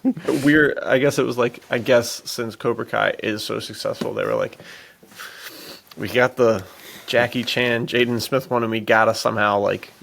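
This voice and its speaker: A man's voice: mid-range voice, fairly even intonation, moderate pace, vocal fry, a bit bright, slight background noise. A man co-hosting a podcast, chatting casually and informally about movies. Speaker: podcast co-host.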